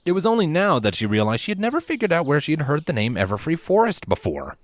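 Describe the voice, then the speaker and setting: A man narrating a scripted story as a reader of fan fiction, with expressive, theatrical emphasis. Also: fairly high pitch, quick pace, no room sound.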